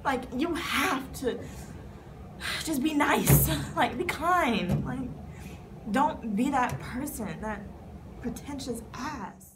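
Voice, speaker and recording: A woman's voice: high voice, lively intonation, slow. A woman speaking candidly in an interview, heartfelt and using "like" often. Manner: heartfelt, leans on "like" as filler. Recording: noisy ambient background. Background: background noise.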